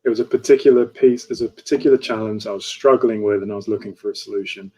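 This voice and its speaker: A man's voice: on the low side, steady tone, fast talker, a little mellow, husky. A man moderating an online fireside chat over a video call, conversational and often ending his points with "right?".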